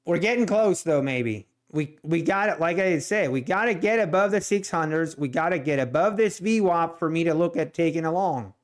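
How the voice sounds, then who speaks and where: A man's voice: a little high, expressive, moderate pace, hardly any pauses. A man from Ohio with a Swiss German accent, chatting casually on a day trading live stream and ranting with plenty of restarts and repeats. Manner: rambling, repeats himself, exasperated ranting.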